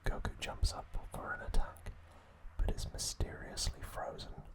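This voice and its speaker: ASMR host, sex indistinct: breath-only whisper for scripted trivia narration, soft throughout. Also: moderate pace.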